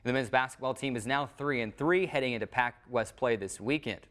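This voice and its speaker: A man's voice: mid-range voice, fairly quick, fairly bright tone. A man hosting a broadcast sports show in a studio, talking in a conversational, wordy way.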